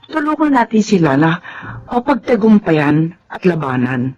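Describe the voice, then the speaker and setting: A woman playing a patient, understanding, wise mother in a radio drama, with a husky voice. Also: fairly low pitch, big pitch swings, unhurried, a little mellow. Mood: gentle counsel. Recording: dull lo-fi sound with music.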